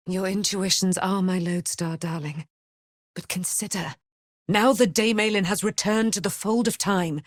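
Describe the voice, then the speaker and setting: A woman's voice: fairly low pitch, no echo, clean studio sound. A woman playing a proud, righteous immortal warrior in a video game, with grand, formal, declamatory delivery and archaic phrasing. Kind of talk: dramatic video game voice acting.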